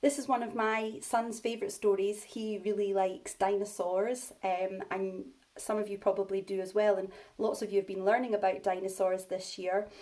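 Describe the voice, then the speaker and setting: A woman speaking as a primary school teacher, addressing and reading to young children in simple, expressive language. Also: medium pitch, normal speed. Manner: simple, child-directed delivery.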